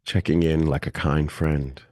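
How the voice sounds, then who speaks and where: A man leading a guided meditation as a teacher, speaking gently and calmly with long, unhurried pauses. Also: very deep voice, dry recording.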